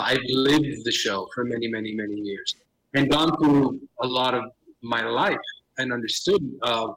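A man's voice: medium pitch, fairly bright tone, low-quality recording. A middle-aged man from Miami, a theater director talking as a podcast guest, with frequent restarts and Spanish phrases mixed in. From Miami, United States. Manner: many restarts and fillers, sprinkles Spanish phrases. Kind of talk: casual interview conversation.

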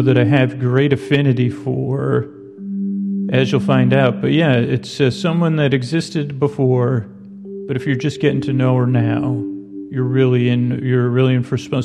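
Gravelly, rambling man in deep reverb. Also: little pitch movement, music in the background. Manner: meandering, halting, repeats words. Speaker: sleep podcast host. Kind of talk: rambling casual bedtime monologue. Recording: long reverb, clear ambient noise behind. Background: ambient sound design.

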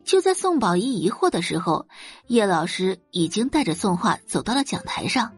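Woman narrator for serialized audio drama, expressive delivery, lively pitch, husky, dry sound. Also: normal speed, soft background music.

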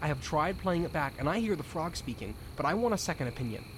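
A man acts out an excitable young-at-heart field researcher in an audio drama. His voice is a little high, chatty and hesitant, with faint crickets around it. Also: quick pace, background noise. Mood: earnest. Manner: rambling, hesitant, exclaiming. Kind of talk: audio drama acting as a recorded field log.

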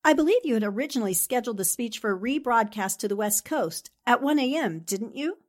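A woman playing a brisk, determined newspaperwoman sleuth in a 1940s-style radio drama, with a commanding manner. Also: on the high side, lively intonation. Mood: firm, persuasive. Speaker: actor in an amateur radio drama re-creation.

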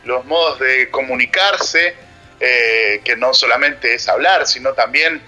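A male radio host muses aloud, pausing and restarting with fillers. His voice is strikingly bright and sharp at a middle pitch, with faint music in the background. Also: highs cut off. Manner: thoughtful, halting, with many fillers. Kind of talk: reflective radio conversation.

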